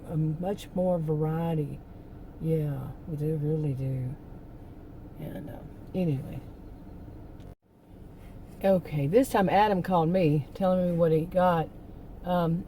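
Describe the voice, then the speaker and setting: Hoarse, low-voiced woman venting. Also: warm tone, a little hiss or hum. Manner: rambling, venting, with many restarts. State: hoarse, losing her voice. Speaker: vlogger. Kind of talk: casual rambling chat.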